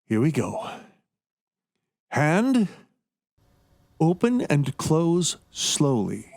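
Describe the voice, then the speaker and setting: A man playing a coldly scheming, gloating doctor in a radio play, speaking with deliberate, condescending menace. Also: a little high, huge pitch swings, a little mellow, full character voice. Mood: controlled, commanding.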